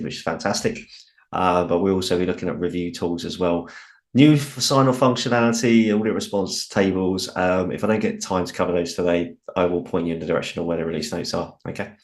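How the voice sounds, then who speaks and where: A British man presenting a software training webinar, informal with frequent ums and restarts, his voice a little croaky. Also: deep voice. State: slightly croaky voice.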